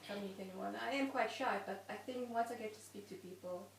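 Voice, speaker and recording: A woman's voice: mid-range voice, a little fast. A woman from South Africa introducing herself hesitantly at a workshop. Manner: hesitant, self-effacing. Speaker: workshop participant. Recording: echoey room from far off, faint noise.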